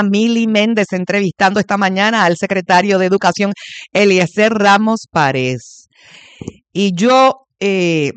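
A man's voice: high voice, fairly bright tone, clear, resonant tone, no room sound. A man with a Puerto Rican accent hosting a morning radio show, giving blunt, emphatic commentary straight to his listeners from a studio mic. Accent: Puerto Rican Spanish. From Puerto Rico.

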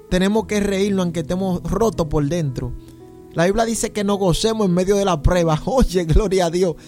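Preacher, male, Dominican accent. High-pitched voice, fervent testimonial delivery full of praise refrains, music playing behind. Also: darkish tone. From San Juan de la Maguana, Dominican Republic. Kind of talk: informal sermon with personal testimony.

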